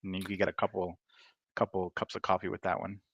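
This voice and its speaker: A man from Rhode Island, a military veteran, talking as a podcast guest in a relaxed way with many fillers. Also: low voice, fast talker, no room sound, dull audio. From Rhode Island, United States. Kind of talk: casual conversation.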